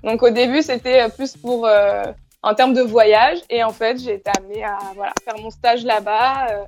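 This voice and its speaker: A young woman with a southern French accent lightly touched by Quebec speaks in a fairly high voice. She answers as an interview guest in a casual way, pausing and restarting as she looks for words. Faint music plays behind her. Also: steady tone, darkish tone. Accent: southern French with slight Quebec traces. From southern France. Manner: hesitant, searching for words.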